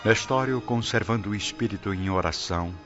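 A man's voice: fairly low pitch, fairly quick, fairly bright tone. A man narrating a radio soap opera in a solemn, grandiloquent style. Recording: dull lo-fi audio with music behind.

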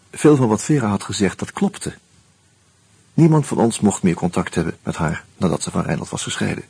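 Husky, low-voiced reflective man. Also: mellow, dry recording, full character voice. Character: reflective male friend telling his story, wry, hesitant. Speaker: actor narrating and playing the lead in a radio play. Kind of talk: intimate first-person radio drama narration and dialogue.

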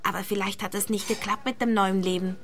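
A woman's voice: mid-range voice, fairly even intonation, brisk, slight background noise, lightly acted. An actress playing a young woman looking for an old friend in a radio play, puzzled, chatty and inquisitive. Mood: earnest, chatty. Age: young adult. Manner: conversational, inquisitive.

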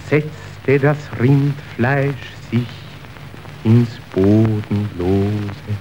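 A man's voice: a little high, darkish tone, highs cut off, a little hiss or hum. A man acting an impassioned messenger in a radio drama, declaiming verse in a theatrical manner that moves between grave and frenzied. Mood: portentous. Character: impassioned male messenger reporting a stock market collapse.

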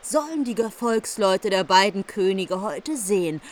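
An actress plays a proud royal wife in a radio saga. Her voice is medium in pitch. She speaks formally, as someone ready to challenge a rival. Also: expressive, unhurried, a little hiss or hum, close to an everyday voice. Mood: defiant, threatening. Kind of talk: radio play acting.

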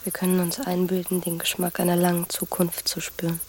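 Hesitant young woman, low even voice actress. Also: a little fast, close to an everyday voice. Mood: hesitant, pensive. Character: hesitant, thoughtful young woman, a farmer's beloved. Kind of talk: literary radio drama acting.

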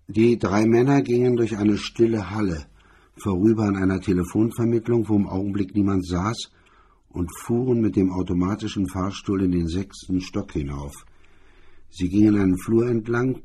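Husky, dark-voiced male narrator. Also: low voice. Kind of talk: scripted narration of a hard-boiled crime story.

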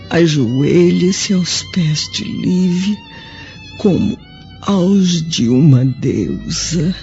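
Very slow, dying Brazilian noblewoman. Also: medium pitch, dark tone, heavily acted. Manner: slow, solemn, halting, with whispered weak phrases. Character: dying elderly noblewoman, tender, noble, serene. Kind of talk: Brazilian radio drama acting. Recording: muffled recording with faint music.

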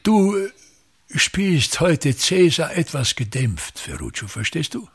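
Pompous, creaky old theatre tyrant. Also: male voice, on the high side, wildly swooping pitch, takes it slowly, heavily acted. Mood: imperious, instructing. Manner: grandiloquent, complaining, repeats himself. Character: pompous, self-pitying, tyrannical old actor-manager and father. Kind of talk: theatrical radio play acting.

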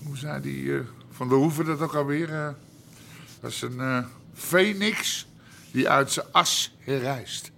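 An actor playing a boastful old-school Amsterdam crime boss in a radio drama, with colloquial, blustering speech. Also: male voice, medium pitch, measured pace, creaky, bright, forceful tone, slight background noise.

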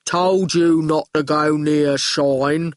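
A woman voicing a huge, gruff male goon in a storybook, speaking in blunt clipped phrases. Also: low voice, fairly even intonation, slow pace, dry recording, clean studio sound, voice pushed down.

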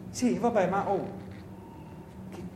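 A man's voice: high voice, takes it slowly. A man playing an anxious, naive young partisan in a theatrical radio play, hesitant and stammering as he asks questions. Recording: roomy echo with faint noise.

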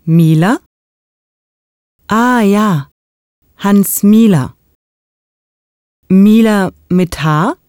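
A woman reads the receptionist's lines in a textbook dialogue, clear and friendly. She speaks very slowly in a mellow, warm voice. The sound is dry. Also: medium pitch, no room sound. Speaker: hotel receptionist in course dialogue.

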